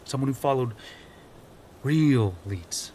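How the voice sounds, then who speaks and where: A middle-aged man playing a gruff, troubled police detective and father in an audio drama, blunt and terse in his delivery. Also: medium pitch, animated, takes it slowly, dark tone. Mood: angry, scornful. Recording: noisy ambience under dialogue.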